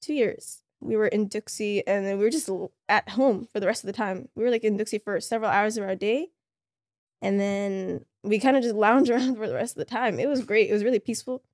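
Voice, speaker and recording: A young woman from Canada whose first language is Somali, telling stories at an easy pace in a relaxed podcast chat with lots of fillers. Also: medium pitch, a little fast, dry recording, clean studio sound. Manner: relaxed storytelling, many fillers. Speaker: guest.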